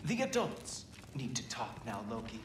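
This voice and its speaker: Smug, slow, swooping mocking god. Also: male voice, medium pitch, huge pitch swings, a little hiss or hum. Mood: sly, dismissive. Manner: condescending, theatrical, drawn-out sarcasm. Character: smug, taunting adult male Norse god, cruel mocker. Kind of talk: dramatic video game acting.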